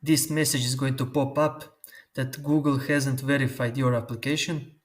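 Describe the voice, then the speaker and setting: Man explaining software steps. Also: medium pitch, level tone. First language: Croatian. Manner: slow, step-by-step instructions. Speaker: tutorial host. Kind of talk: screen-recorded software tutorial.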